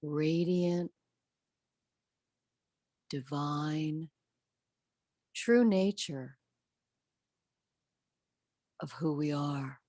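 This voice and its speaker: Slow, low-voiced woman leading gentle meditation. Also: dry recording, studio quality. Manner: gentle, soothing, measured with long pauses. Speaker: spiritual coach leading guided meditation. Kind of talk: guided meditation and prayer.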